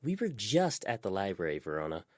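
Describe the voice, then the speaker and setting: A man's voice: on the low side, huge pitch swings, brisk, dry recording, studio quality. A man voicing a sensible, mild-mannered teenage girl. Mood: reasoning.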